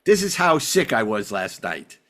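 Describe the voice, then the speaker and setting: A man hosting a podcast, chatting casually about sci-fi television in a rambling, excitable way with stammered repeats. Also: fairly low pitch, moderate pace. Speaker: podcast host.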